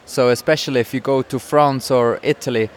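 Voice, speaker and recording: A man's voice: mid-range voice, fairly even intonation, fairly quick, slight background noise. A man from Switzerland with a Swiss accent, co-hosting a casual podcast and chatting while he sometimes searches for English words. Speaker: podcast co-host. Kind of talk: casual chat. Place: outdoors in a busy town square.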